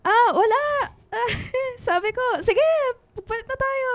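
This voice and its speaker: A woman's voice: extremely high pitch, unhurried. A woman playing a shy, smitten teenage girl in a radio drama, stammering and exclaiming as she fibs. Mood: giddy, smitten.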